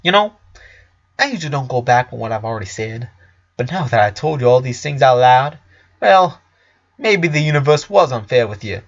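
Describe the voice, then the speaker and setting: A man's voice: medium pitch, sing-song, normal speed, muffled recording. A man voicing a blunt, glib doctor in a story reading, lecturing in a rambling, sarcastic way. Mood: sardonic, rambling. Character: glib, blunt, morbidly curious male doctor.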